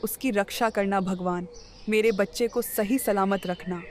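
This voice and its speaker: A woman's voice: medium pitch, fairly even intonation, a little slow, a little hiss or hum, lightly acted. A woman voicing an imprisoned queen, a loving, devout mother, crying in grief in a Hindi cartoon. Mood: anguished, praying. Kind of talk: Hindi animated moral story dialogue.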